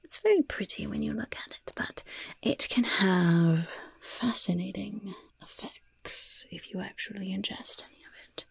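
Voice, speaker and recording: A woman voicing a mischievous goblin shopkeeper in a whispered ASMR roleplay, with sly, gleefully polite sales patter in a breathy, gravelly voice. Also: medium pitch, a little slow, no echo. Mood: conspiratorial, sly. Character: mischievous goblin shopkeeper selling poisons and cursed items.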